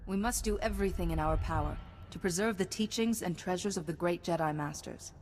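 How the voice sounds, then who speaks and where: A woman's voice: on the low side, fairly quick, a little mellow, big-room sound, slight background noise, natural voice. An actress playing a principled female Jedi in a video game, earnest and firm. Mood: resolute. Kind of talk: game voice acting.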